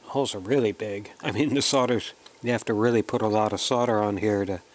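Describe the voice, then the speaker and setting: A man narrating a hands-on tutorial in a casual, halting way, thinking aloud as he works. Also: fairly low pitch, steady tone. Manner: unhurried, halting, thinking aloud while working. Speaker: electronics hobbyist presenting a kit build.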